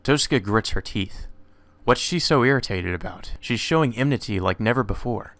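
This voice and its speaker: A man's voice: on the low side, expressive, moderate pace, fairly bright tone, no echo. A man doing a let's play, reading visual novel text aloud with occasional asides. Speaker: let's player.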